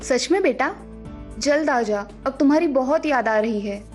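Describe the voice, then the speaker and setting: A woman's voice: sits high, animated, unhurried, a little mellow, soft background music. A woman voicing a caring, anxious village mother in a children's cartoon story.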